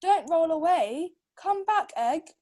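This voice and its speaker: A woman with a high voice takes her time as she speaks to a young learner. Her style moves between casual tutoring chat and children's storybook reading, at a slow pace. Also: lively intonation, warm tone.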